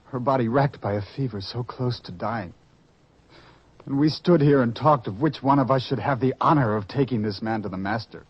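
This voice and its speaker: A husky, mid-pitched disciple played by a man, distressed and disheartened. His delivery is emotive and theatrical, from a biblical radio play with dull old sound. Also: normal speed, natural voice. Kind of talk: old-time religious radio drama acting.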